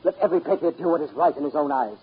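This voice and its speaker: An actor playing a fiery colonial American patriot rallying a crowd with declamatory, impassioned oratory in a 1930s radio drama. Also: male voice, fairly high pitch, lively intonation, very fast, close to an everyday voice. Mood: urgent, rallying. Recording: muffled, noisy 1930s radio recording.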